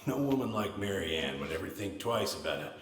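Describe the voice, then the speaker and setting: An actor playing a self-deprecating, grieving middle-aged American man in a video game drama, halting and folksy in manner. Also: low-pitched, very animated, reverberant. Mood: defensive, regretful. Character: self-deprecating, grieving older man, old family friend.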